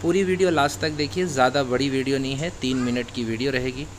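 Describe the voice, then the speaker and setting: A man narrates a casual farm how-to from behind a phone camera, in a friendly, instructive voice at an ordinary middle pitch. Filmed inside a goat shed, with a faint hum underneath. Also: fairly even intonation, fast talker. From Hyderabad, India. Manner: friendly instructional talk with religious greetings. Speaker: goat farmer and channel host.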